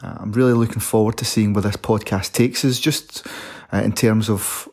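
A man's voice: lowish voice, fairly even intonation, moderate pace, a little mellow, husky. A man introducing himself conversationally as a podcast co-host.

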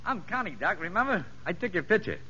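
An actor playing a sly, streetwise convict boss with slangy, mock-courteous talk in a 1950s radio drama. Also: male voice, high voice, big pitch swings, fast talker, bright, forceful tone, husky, exaggerated voice. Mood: sly, needling. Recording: dull, noisy 1950s radio recording.

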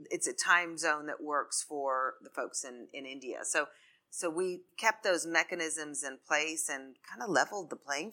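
A woman's voice: deep voice, pushed and bright. A woman who leads a marketing team, speaking earnestly on a live podcast panel and often restarting her words.

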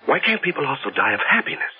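An actor playing an anxious, devoted husband and rich master of the house in an old radio drama, speaking earnestly and emphatically. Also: male voice, fairly high pitch, big pitch swings, fast, breathy edge, heavily acted. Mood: worried, urgent. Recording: muffled old radio broadcast with music.

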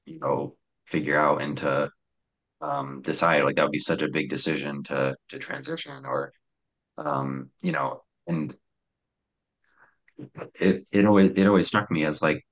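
Young man, extremely low voice, reflective storytelling, halting, lots of fillers. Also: steady tone. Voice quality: very deep voice. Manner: hesitant, many fillers and restarts. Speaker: guest telling his story.

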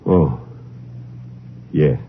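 An actor playing a sorrowful, emotive boxing manager in an old radio crime drama. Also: male voice, very slow. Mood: troubled. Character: excitable, sorrowful male boxing manager, protective of family. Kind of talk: 1950s radio drama acting. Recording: muffled, noisy old radio recording.

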